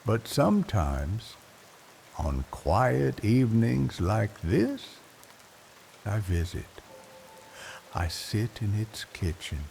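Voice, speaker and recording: Older guy reading a wistful bedtime story, low mellow voice, super slow w long pauses, soft rain behind. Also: big pitch swings, gravelly, slight background noise. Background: soft rain ambience.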